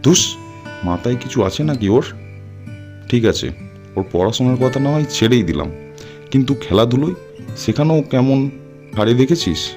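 A man's voice: mid-range voice, expressive, moderate pace, long pauses, a little mellow, soft background music, lightly acted. A man playing a blunt, scornful young man in an audio story, with a dismissive, slangy way of talking.

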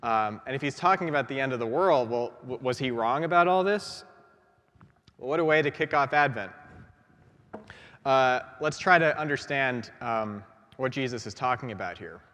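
A man's voice: mid-range voice, lively intonation, normal speed, a bit bright. A man in his thirties, a priest preaching a sermon from the pulpit, a little congested from a cold, talking conversationally with many fillers and restarts. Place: large church, in the pulpit. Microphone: gooseneck pulpit mic. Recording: long church reverb.

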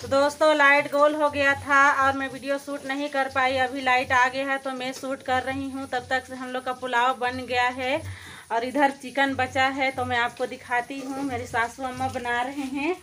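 A woman's voice: high voice, level tone, moderate pace, sharp tone, slight background noise. A woman in her thirties chatting to her viewers as she cooks in a village courtyard, filming herself on a phone held at arm's length. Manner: chatty, addresses viewers directly. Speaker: vlogger. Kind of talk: casual narration to camera. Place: village courtyard and home at night.

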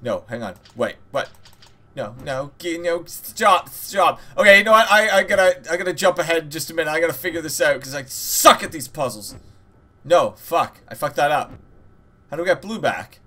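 A man hosts a let's-play in a rambling, joking, sweary way. His voice sits a little high and comes across bright and forceful. The delivery is loose, casual commentary. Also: expressive, normal speed. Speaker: host of a gaming channel.

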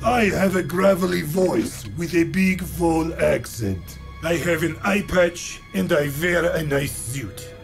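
An actor playing a gravel-voiced, eye-patched villain leader with a heavy foreign accent, talking in slow deadpan parody. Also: male voice, fairly high pitch, soft background music. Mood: deadpan menace.

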